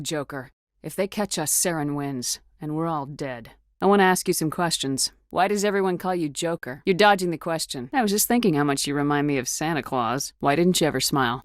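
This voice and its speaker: A woman's voice: on the low side, dry recording, clean studio sound, close to an everyday voice. A woman voicing a tough, resolute military starship commander in a video game, speaking in a firm, clipped, commanding way. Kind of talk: video game character dialogue.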